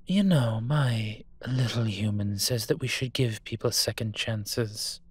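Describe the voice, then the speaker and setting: A man's voice: mid-range voice, unhurried, close to an everyday voice. A man playing a cocky, menacing monster detective who protects a child in a roleplay audio drama, with taunting threats that drop into breathiness. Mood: calm, warning.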